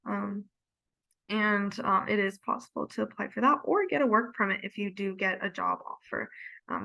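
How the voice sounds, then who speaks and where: A woman's voice: medium pitch, gravelly, pushed and bright, dry recording, rough recording. A woman giving an informal online presentation as a university representative, speaking in a hesitant, tentative way. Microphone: video call.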